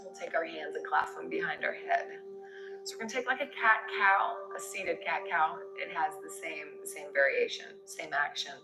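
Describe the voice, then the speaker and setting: A woman's voice: deep voice, normal speed, creaky, reverberant, music in the background, low-quality recording. A woman instructor guiding a yoga class in a conversational, encouraging way, giving step-by-step movement and breathing cues.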